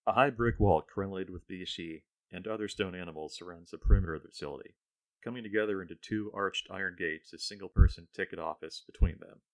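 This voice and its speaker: A man's voice: low voice, fast, rough recording. A man, the author, reading his own science fiction story aloud in the first person, with a dry, wry storytelling tone.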